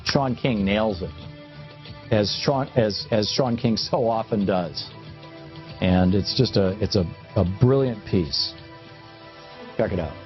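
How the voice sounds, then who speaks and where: A man's voice: on the low side, slow, long pauses, faint music behind. A man hosting talk radio, reading aloud from a newspaper column and commenting on it with emphatic emphasis and conversational asides. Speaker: radio talk show host. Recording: tinny phone-like radio audio, roomy echo.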